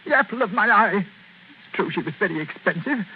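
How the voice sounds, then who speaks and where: Old radio drama, thin lo-fi sound: miserly elderly man, high voice, aggrieved, querulous, wallowing in self-pity. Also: lively intonation, a little fast, husky, heavily acted. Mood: agitated, aggrieved. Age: older. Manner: blubbering, querulous lamenting. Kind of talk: 1940s radio acting. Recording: scratchy, tinny 1940s radio recording.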